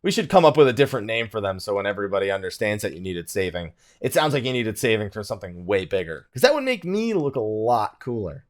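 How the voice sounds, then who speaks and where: A man's voice: fairly low pitch, big pitch swings, quick pace, hardly any pauses. A man hosting a gaming let's play, chatting casually in a rambling, joking way with mock exasperation and self-mockery. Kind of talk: casual chatty game commentary.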